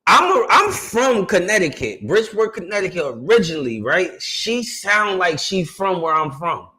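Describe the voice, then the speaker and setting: Bright-voiced slangy man reacting. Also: high-pitched, very animated. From South Carolina, USA. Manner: slangy, loose, quick to laugh. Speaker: reaction video host. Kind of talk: casual reaction commentary.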